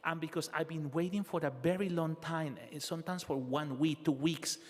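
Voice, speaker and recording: Halting male interpreter in a reverberant hall. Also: fairly high pitch, darkish tone. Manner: halting first-person interpreting with filler "eh" and tag "huh?". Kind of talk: consecutive interpretation of public testimony. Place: city council hearing room.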